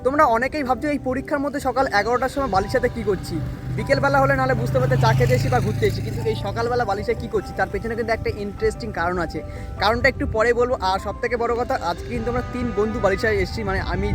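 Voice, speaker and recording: A man's voice: extremely high pitch, rapid-fire, over music. A young man, chatting casually to viewers as a vlogger and often repeating himself, recorded outdoors. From West Bengal, India. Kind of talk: casual vlog narration to viewers. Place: outdoors in an open rural area.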